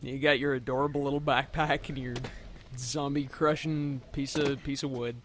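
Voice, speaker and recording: A man's voice: mid-range voice, little pitch movement, moderate pace, fairly bright tone, a little hiss or hum. A man chatting casually and jokingly as co-commentator on a video game playthrough. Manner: playful, joking. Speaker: co-commentator on a let's play. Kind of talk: casual chat. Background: video game sounds.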